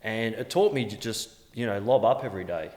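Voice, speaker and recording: A man's voice: on the low side, animated, brisk, a little mellow. A man in his forties with an Australian accent, a guest telling his story in a candid, slangy, laid-back way. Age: 40s. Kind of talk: casual interview chat. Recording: echoey room with long reverb.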